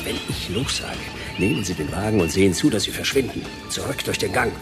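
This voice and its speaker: An actor playing a laconic, weary hard-boiled detective, narrating and speaking in terse deadpan in a radio play. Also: male voice, on the low side, fairly quick, close to an everyday voice. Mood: dry, resolute. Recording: radio play over loud backing music.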